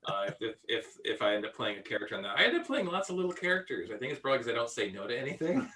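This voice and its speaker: A man, a voice actor, chatting casually in a halting, rambling way. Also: mid-range voice, sing-song, bright, forceful tone, far from the mic. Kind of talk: casual chat.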